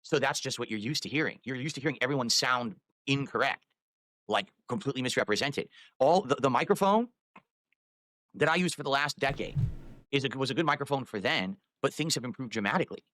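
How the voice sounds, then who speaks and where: A man's voice: medium pitch, expressive, a little fast, sharp tone. A man live-streaming, rambling while he fiddles with his microphone and audio settings.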